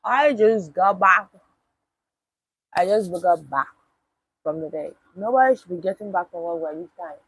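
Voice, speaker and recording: A woman's voice: lowish voice, expressive, moderate pace, long pauses, a bit bright, breathy edge, dry recording, poor audio. A young woman, live-streaming casually and answering chat comments bluntly, with swearing. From Nigeria.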